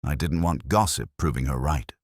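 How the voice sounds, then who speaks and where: A man's voice: very deep voice, moderate pace, slightly rough, no echo, full character voice. Man reading as fearful actress for mystery audiobook: anxious, defensive, guarded, dry close recording.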